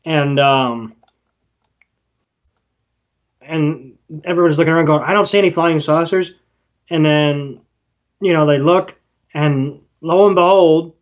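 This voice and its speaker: A man's voice: a little high, measured pace, pauses often, muffled recording. A man co-hosting a podcast, casually retelling a show in a folksy, mildly profane way. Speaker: podcast co-host. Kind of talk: casual episode recap chat.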